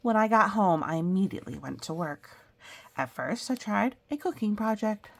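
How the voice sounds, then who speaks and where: A woman's voice: medium pitch, animated, moderate pace, gravelly. A woman reading a picture book aloud as a storyteller, expressively, with chatty, explanatory asides for young listeners.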